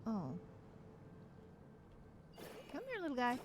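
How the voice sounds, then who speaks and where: A woman's voice: fairly high pitch, huge pitch swings, takes it slowly, background noise. A woman streamer giving casual commentary as she plays, chatty and muttering to herself while she works through puzzles. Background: game music.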